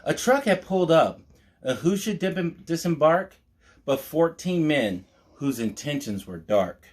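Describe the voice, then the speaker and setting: Slow, animated man reading a storybook aloud. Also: on the high side. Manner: measured, mock-earnest children's storyteller. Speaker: storytime host reading a picture book aloud. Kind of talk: storybook read-aloud with asides to the listener.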